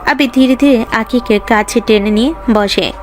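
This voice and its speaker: Woman narrator reading a love story, highish voice, soft music behind. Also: moderate pace. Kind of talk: scripted romantic story narration.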